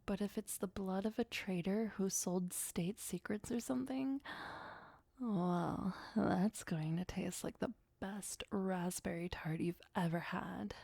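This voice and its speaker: A woman playing a possessive, doting vampire girlfriend in an ASMR roleplay, speaking softly and sweetly with breathy, often whispered delivery. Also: mid-range voice, takes it slowly, dry recording. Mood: musing, fond.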